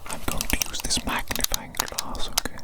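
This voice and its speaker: A woman voicing a fussy, gleeful evil doctor in a whispered ASMR roleplay, softly repeating her phrases. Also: normal speed, close to an everyday voice. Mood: musing.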